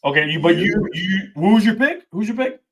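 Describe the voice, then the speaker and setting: A man co-hosting a casual podcast, talking sports and debate in an animated way and saying "bro" a lot. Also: sits high, normal speed. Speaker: podcast co-host.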